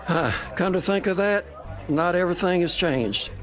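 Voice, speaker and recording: A man's voice: high voice, normal speed, natural voice. A man playing an amused, tactful newspaper colleague in a radio drama. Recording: faint crowd and music behind.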